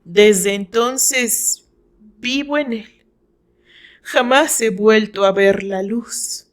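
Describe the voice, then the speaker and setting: Radio drama villainess, acted by a woman narrator: mocking, bitter, breathy at times, very slow and theatrical. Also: medium pitch. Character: cruel, bitter blonde rival, mocking and taunting.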